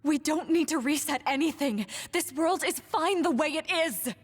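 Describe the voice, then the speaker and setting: Actress doing tender protective mom in a game, high voice, earnest, big echoey reverb. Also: female voice, moderate pace, natural voice. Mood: pleading. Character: gentle, protective motherly woman, pleading and self-sacrificing. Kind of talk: English video game dub acting.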